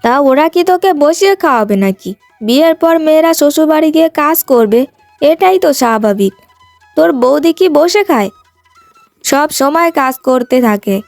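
A practical, middle-aged cartoon mother with a high female voice, played by a woman narrator. She talks plainly and frankly, recorded dry with faint music underneath. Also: expressive, moderate pace, a little mellow, dry recording. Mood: scolding.

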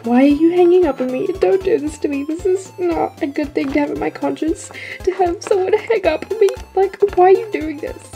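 Woman playing a vain popular high school girl, high-voiced. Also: normal speed, mellow, faint music behind, close to an everyday voice. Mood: indignant. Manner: self-important, indignant.

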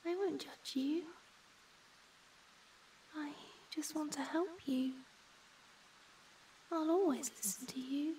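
A woman voicing a shy, gentle young ghost girl in a soft, breathy, often whispered ASMR roleplay, speaking hesitantly and tenderly. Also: high voice, recorded from a distance, slight background noise, poor audio.

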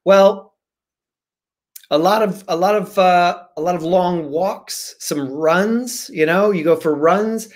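A man in his forties, an author guest, casually answering questions over a video call from a room at home in an animated, jokey way. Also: high voice, slow.

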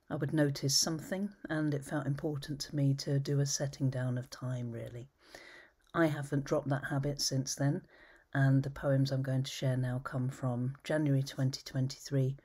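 A woman reading her own poems at home, measured and thoughtful, often trailing into a whisper. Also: very deep voice, warm tone. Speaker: poet. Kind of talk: poetry reading. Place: home study with bookshelves, indoors.

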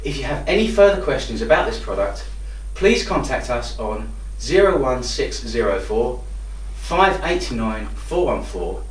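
A man's voice: medium pitch, sing-song, unhurried, hardly any pauses. A man giving an instructional product demonstration. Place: indoor room with hard walls. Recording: roomy echo, distant microphone.

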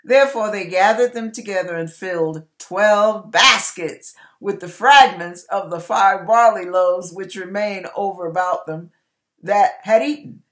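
A middle-aged woman reading Bible scripture aloud with deliberate, emphatic, dramatic phrasing. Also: mid-range voice. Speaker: online church minister reading scripture. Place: small indoor room with curtain.

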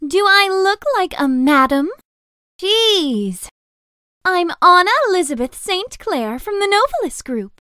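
A woman's voice: very high-pitched, sing-song, a little slow, pushed and bright, no room sound, clean studio sound, exaggerated voice. A woman playing a haughty, impatient rich young lady in a visual novel.

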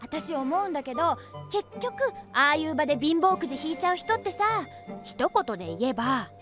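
A woman's voice: sits high, very animated, a little fast. A woman playing a breezy, carefree young female mercenary in a Japanese audio drama, flippant and playful. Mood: breezy, teasing. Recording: music behind the voice.

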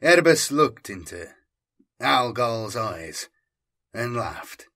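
A British man reading dark science-fiction with a slow, weighty, dramatic delivery into a home studio microphone. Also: medium pitch, big pitch swings. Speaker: audiobook narrator and channel host.

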